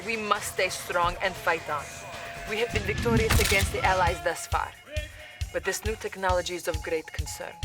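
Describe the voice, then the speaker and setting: An actress playing a loyal, earnest Soviet military briefing officer in a video game. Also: female voice, fairly low pitch, close to an everyday voice. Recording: loud music behind.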